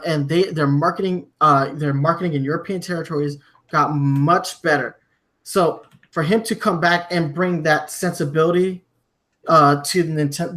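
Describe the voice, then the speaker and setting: Halting, higher-pitched man hosting a podcast. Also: a bit bright. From Germany. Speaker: podcast host. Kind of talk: conversational news discussion.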